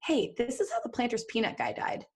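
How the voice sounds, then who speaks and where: A woman's voice: on the high side, huge pitch swings, brisk, breathy edge. A woman co-hosting a podcast, reading fan fiction aloud in a giggly, jokey way and breaking off for casual asides. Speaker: podcast co-host.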